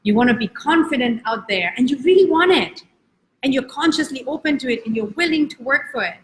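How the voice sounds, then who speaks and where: A woman from Spain, raised in Germany, giving an earnest, encouraging motivational talk as a life coach, slowing down to stretch key words. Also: medium pitch, fairly bright tone, slight background noise, rough recording. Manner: earnest, encouraging, uneven pace with drawn-out words. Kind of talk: live-streamed motivational talk.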